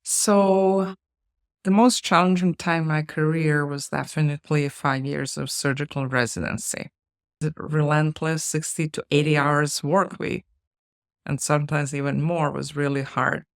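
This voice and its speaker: A middle-aged woman from Bosnia, a surgeon giving measured, earnest and humble answers in an interview. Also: low-pitched, fairly even intonation, no room sound, clean studio sound.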